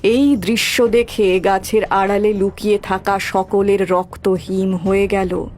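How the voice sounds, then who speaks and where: A woman's voice: medium pitch, steady tone, takes it slowly, darkish tone, a little hiss or hum. A woman giving scripted, dramatic narration of a horror story. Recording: background music throughout.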